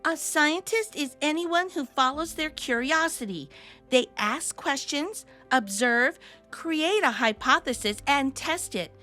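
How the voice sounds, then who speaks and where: A woman reading a picture book aloud to young children with expressive, deliberate delivery and dramatic emphasis. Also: high voice, faint music behind. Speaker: children's read-aloud storyteller.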